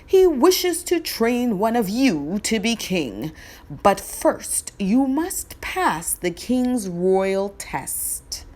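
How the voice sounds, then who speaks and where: Woman doing a male royal messenger, storybook, formal, declamatory, solemn, slow measured proclamation. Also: fairly high pitch, sing-song, darkish tone, full character voice. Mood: solemn, proclaiming.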